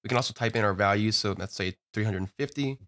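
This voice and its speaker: Guy with a lowish voice doing a screen-recorded software tutorial, laid back, lots of "you know" and "uh". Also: fast talker. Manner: relaxed, upbeat explaining with frequent "you know" and "uh".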